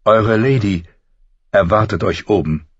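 A man's voice: on the low side, unhurried, breathy edge. A man voicing an agitated, loyal old knight, urgent and deferential, in an audiobook. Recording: dull, roomy audiobook recording.

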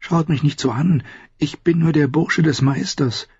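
A man's voice: on the high side, measured pace, darkish tone, husky, dry recording, voice pushed up, full character voice. A man voicing a nervous young steward, anxious and defensive, in a slightly dull audiobook recording.

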